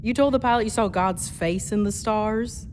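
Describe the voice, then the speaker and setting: Actress doing a snarky young genius girl on a spaceship, medium pitch, mocking, faint noise behind. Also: moderate pace, a little mellow, close to an everyday voice. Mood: scornful, challenging. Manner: sarcastic, flippant. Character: brash, mocking twenty-one-year-old female genius navigator. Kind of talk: radio play dialogue.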